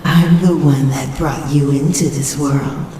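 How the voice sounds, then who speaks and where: A woman playing a sinister shadow-creature mother, speaking in a deliberate, mock-tender way for a dramatic comic dub. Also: low voice, steady tone, mellow. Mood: coaxing, sinister. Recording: roomy, distant, faint background noise.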